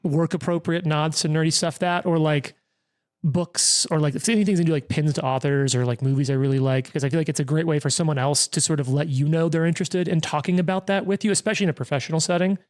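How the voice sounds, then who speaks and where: A man's voice: on the high side, fairly even intonation, fast. A man in his thirties, the game master, chatting casually in a rambling, self-deprecating way with lots of quoted asides and restarts. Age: 30s. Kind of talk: casual chat at the gaming table.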